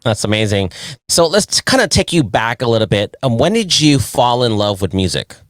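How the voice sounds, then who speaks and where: A man's voice: mid-range voice, big pitch swings, normal speed, no room sound. A man from San Diego hosting a music interview show, friendly and leaning on fillers like "you know". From San Diego, California. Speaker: show host.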